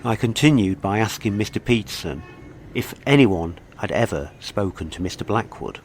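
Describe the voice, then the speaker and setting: A man with a fairly low voice narrates a scripted true-crime mystery as its host. He reads prepared lines and poses questions. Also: expressive, moderate pace, soft background music.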